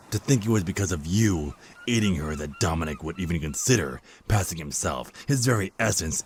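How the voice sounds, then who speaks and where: An actor playing a cruel, contemptuous Archangel of Death in an audio drama, with a mocking, theatrical delivery. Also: male voice, extremely low pitch, a little hiss or hum. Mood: bitter disdain. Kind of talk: dramatic villain monologue in audio roleplay.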